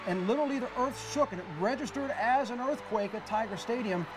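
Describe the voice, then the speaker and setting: A man's voice: extremely high pitch, noisy background. A man in his forties interviewing a former player on a TV studio set, asking long, enthusiastic questions on a lapel mic. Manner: brisk, enthusiastic storytelling questions. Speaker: sports TV interviewer. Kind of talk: TV sports interview.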